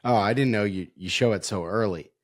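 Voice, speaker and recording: A young man co-hosting a casual sports podcast. His voice is hoarse and raspy, and he talks in a laid-back, self-deprecating way. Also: on the low side, a little fast. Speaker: podcast co-host.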